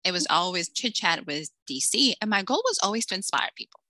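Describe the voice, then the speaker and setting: A woman's voice: fairly low pitch, fairly quick, no echo. A middle-aged woman author from the Dominican Republic, raised in Massachusetts, chatting in a casual interview and acting out the voices of people in her stories. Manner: animated storyteller, acts out quoted voices. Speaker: author guest.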